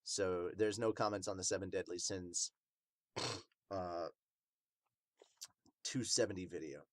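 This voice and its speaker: A man's voice: sits low, slow pace, no room sound, studio quality. A man hosting a podcast, giving casual, rambling, slangy reaction commentary. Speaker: host of a manga review podcast.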